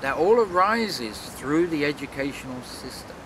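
Casting: man, 60s, reflective interviewee, deliberate with pauses, recorded outdoors with clear background noise. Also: a little high, animated. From London, England. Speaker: world traveler being interviewed. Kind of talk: reflective personal storytelling to camera. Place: outdoors in a leafy city park.

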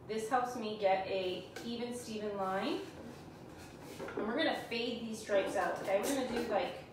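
A Canadian woman in her forties, talking viewers through a live furniture painting demo in a chatty, self-teasing way. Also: medium pitch. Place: small room indoors, sitting on the floor. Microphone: camera on a stand a few feet away. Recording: echoey room, far from mic, background hum.

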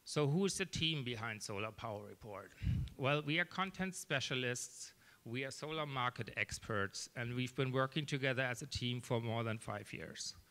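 A German-accented man in his thirties gives an earnest startup pitch into a handheld mic, scattering "um"s as he goes. His voice is bright and forward, sitting at an average pitch for a man. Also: normal speed. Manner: earnest, steady, many "um"s. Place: small event room, indoors.

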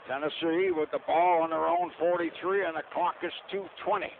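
A man with a fairly high voice calls football play-by-play in clipped, partisan fragments. The sound is a narrow, phone-like radio broadcast with clear noise behind him. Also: fairly even intonation, brisk. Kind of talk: live American football play-by-play commentary.

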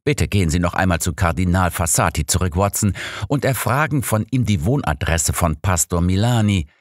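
A man's voice: low-pitched, animated, moderate pace, no room sound, pitched above the performer's own voice. A man voicing an imperious old master detective in an audiobook, commanding, dry and impatient. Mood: brisk, insistent.

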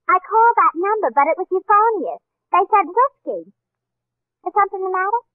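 A woman's voice: very high voice, lively intonation, normal speed, dry recording. An actress playing a flustered young substitute secretary in a 1940s radio comedy, mock-formal and forever mangling her words. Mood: eager, muddled. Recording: tinny, narrow-band 1940s radio recording.

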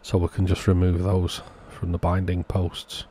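A man's voice: sits low, monotone, normal speed, mellow, a little hiss or hum. A man narrating a hands-on repair walkthrough in a matter-of-fact, understated way, as the host of a repair channel.

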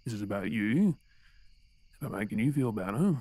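Man acting as Australian boyfriend, exasperated yet tender, lively pitch, dry close sound, intimate roleplay. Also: fairly quick, breathy edge, dry recording. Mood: affectionate, reassuring. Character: exasperated but tender boyfriend with greying hair. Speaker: audio roleplay performer.

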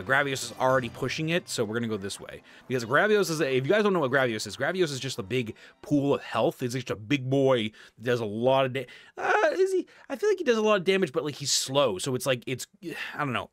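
A man's voice: medium pitch, sing-song, fast, hardly any pauses, fairly bright tone, no room sound. A man in his thirties chatting casually while playing a video game at home, a little stuffy-nosed and rambling. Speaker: gaming streamer. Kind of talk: casual gameplay commentary. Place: home room, seated at desk.

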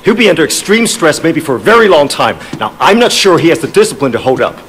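A man's voice: a little high, sing-song, fast talker, a bit bright, slightly rough, full character voice. An actor playing a serious, skeptical male police handler in a video game, speaking in an earnest, formal, insistent way. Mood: doubtful. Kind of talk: video game cutscene acting.

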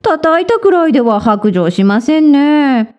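A cheeky little novice monk in a storybook, voiced by a woman. The boy is clever and playfully innocent, with a high, unhurried voice. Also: sing-song, a little mellow, muffled recording. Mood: mock innocent.

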